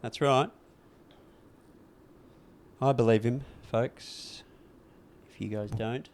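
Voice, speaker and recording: A man's voice: medium pitch, fairly even intonation, very slow, darkish tone. A man co-hosting a podcast in casual chat, agreeable and often answering in short interjections. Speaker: podcast co-host.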